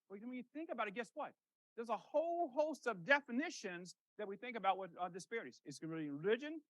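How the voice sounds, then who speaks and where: A man's voice: extremely high pitch, sharp tone, no echo, low-quality recording. A middle-aged man, a rheumatologist, giving a conversational lecture that opens with a story and leans on rhetorical questions. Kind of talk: lecture to a professional audience. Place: lecture room.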